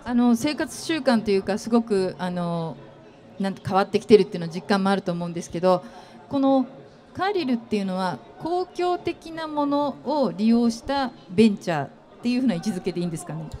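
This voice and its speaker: A middle-aged woman interviewing a guest on an expo stage, asking long, gentle questions full of fillers into a handheld mic. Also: mid-range voice, lively intonation, fairly quick. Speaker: interviewer, company executive.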